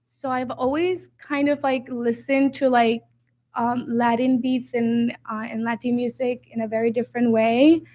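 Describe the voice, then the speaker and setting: A woman's voice: a little high, flat delivery, measured pace, mellow. A young woman from Honduras, a DJ and producer guest, talking casually and hesitantly with many fillers. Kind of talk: casual interview chat.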